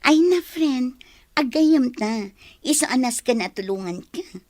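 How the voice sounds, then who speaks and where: Comforting young best friend played by a woman, audio drama, lightly high voice, encouraging tone, dry studio-close sound. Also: animated, a little slow, a little mellow, dry recording. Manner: encouraging, consoling. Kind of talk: Filipino audio drama acting.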